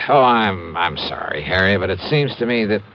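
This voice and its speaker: Hard-boiled male investigator, low lively voice, wry, conversational, old muffled radio drama. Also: unhurried. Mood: wry, skeptical. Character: wry, tough male insurance investigator narrating his case. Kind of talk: 1950s radio detective drama acting. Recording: muffled old radio recording, music behind.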